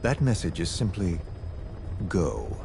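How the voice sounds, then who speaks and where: Game villain: cold, calculating interrogator, very deep, highly expressive delivery, menacing courtesy. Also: male voice, vocal fry, noisy background, full character voice. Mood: calm menace. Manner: measured, menacing, falsely polite, theatrical. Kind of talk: dramatic video game voice acting.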